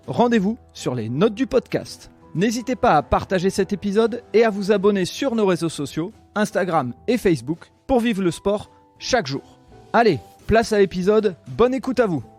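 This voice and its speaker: A man's voice: sits high, darkish tone. A man hosting a podcast in a chatty, rambling, enthusiastic way. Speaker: podcast host and interviewer. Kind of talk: casual conversational interview.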